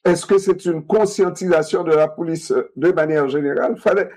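A man in a discussion, talking in an animated way, repeating himself and asking rhetorical questions. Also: on the high side, normal speed.

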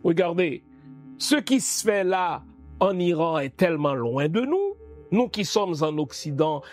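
Slow, lively high-voiced man. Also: faint music behind. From Haiti. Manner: emphatic, rhetorical questions to the listener. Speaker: political commentator. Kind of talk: spoken commentary.

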